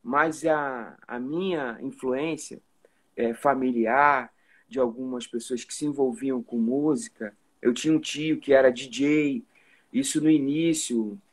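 A man's voice: mid-range voice, measured pace, slightly rough. Carioca man telling stories over a video call. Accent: Carioca Portuguese. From Rio de Janeiro, Brazil. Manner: relaxed storytelling with many "né?" tags. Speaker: musician guest. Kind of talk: casual live video chat. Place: indoor room at home. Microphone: phone or webcam on a video call.

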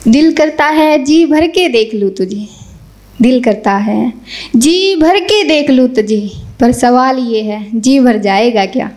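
Young female poet, highish voice, recites verse at an open mic and repeats each couplet. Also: takes it slowly, a little mellow. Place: small indoor stage. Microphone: stand mic.

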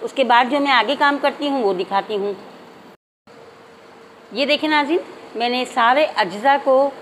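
A woman hosting a cooking channel, calmly walking viewers through a recipe step by step in a home kitchen. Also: high voice, moderate pace, a little hiss or hum, low-quality recording. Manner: calm, methodical, addresses viewers directly. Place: home kitchen, hands only on camera.

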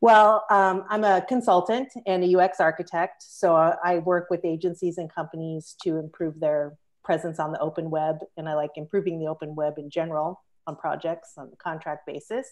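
A woman's voice: sits low, steady tone, fairly quick, few pauses, a little mellow. A middle-aged woman on a casual video call from her living room, talking in a friendly, upbeat way. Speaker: guest, UX consultant. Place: living room with patterned chairs.

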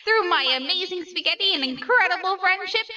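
A woman's voice: very high-pitched, animated, a little fast, sharp tone, recorded from a distance, full character voice. A woman voicing a cheerful, naive skeleton brother in a comic dub, grandiose, earnest and theatrical. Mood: boastful. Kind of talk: comic dub character acting.

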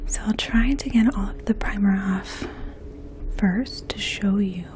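Hushed, slow-talking young woman, soft ASMR. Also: mid-range voice. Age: 20s. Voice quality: soft, hushed, breathy whisper-like speech. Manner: gentle, explanatory, unhurried. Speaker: ASMR performer playing a makeup artist. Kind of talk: ASMR role play, addressing the listener as a client. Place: small indoor room. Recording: faint steady outdoor hum. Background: distant lawn mower.

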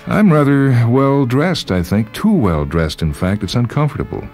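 A composed, courtly conjured man acted out by a male storyteller in a radio tale. He is formal and politely probing, pitched above the narrator's deep register, over faint music. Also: expressive, normal speed, darkish tone, lightly acted. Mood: questioning.

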